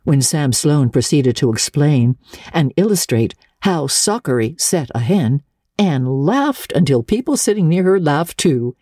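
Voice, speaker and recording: A woman narrating classic literature as an audiobook, with dramatic, measured storytelling. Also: low-pitched, warm tone, slightly rough, no echo, studio quality.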